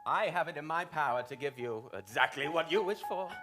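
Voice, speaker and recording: A performer playing a scheming wizard villain in a comic pantomime, with a grand, drawn-out theatrical delivery. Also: mid-range voice, expressive, natural voice. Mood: grand, tempting. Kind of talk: improvised comic pantomime with singing. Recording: music loud behind the voice. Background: loud musical accompaniment.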